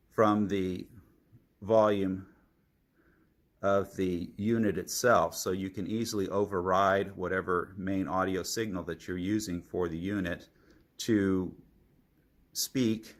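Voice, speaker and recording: A man with a fairly low voice presents a product demonstration. He speaks very slowly and explains each point methodically, in the measured style of a careful reviewer. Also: steady tone.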